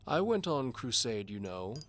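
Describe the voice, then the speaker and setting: A man's voice: medium pitch, big pitch swings, moderate pace, a bit bright, pitched above the performer's own voice. A man voicing a doddering, pompous old duke and amateur alchemist in an audio drama, fussy and formal. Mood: stern. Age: older. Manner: pompous, formal, hesitant blustering. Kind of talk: audio drama character acting.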